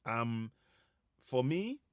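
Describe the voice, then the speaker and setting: Slow, halting man hosting. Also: medium pitch, sing-song, no room sound, clean studio sound. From Yorubaland, West Africa. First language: Yoruba. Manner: halting, repetitive, with many hesitations. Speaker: host of an online broadcast. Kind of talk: live monologue to viewers, advocacy talk.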